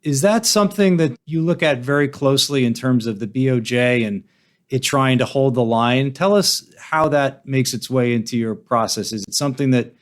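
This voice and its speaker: Mid-pitched male finance podcast host. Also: moderate pace. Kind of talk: conversational finance interview.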